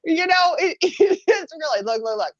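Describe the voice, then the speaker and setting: A woman's voice: very high voice, sing-song, measured pace, no echo, highs cut off. A woman co-hosting a casual chat, teasing and joking. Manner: teasing, dry joker. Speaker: podcast co-host.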